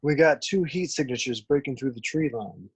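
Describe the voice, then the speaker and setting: A woman playing a brisk, alert military scout in an amateur script read. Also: extremely low pitch, lively intonation, slightly rough, full character voice.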